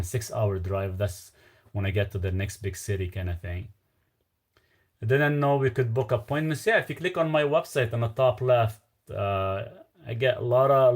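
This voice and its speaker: A man's voice: fairly low pitch, darkish tone. A man with a Lebanese accent hosting a casual live stream, reading viewer questions aloud and answering in a loose, rambling way. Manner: loose and rambling, trailing off with fillers.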